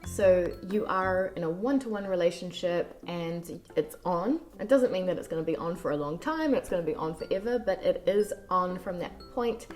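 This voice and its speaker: Nz woman doing a q&a vlog, soft careful speech, lowish mellow voice, faint music under. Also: animated. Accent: New Zealand, softened by years in France. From New Zealand. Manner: soft-spoken, slow and carefully articulated. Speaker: vlogger answering viewer questions. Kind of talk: casual Q&A chat.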